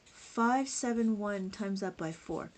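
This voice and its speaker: A woman's voice: medium pitch, slow. A woman teaching abacus math on a live stream, chatting with viewers, greeting them by name and counting numbers aloud.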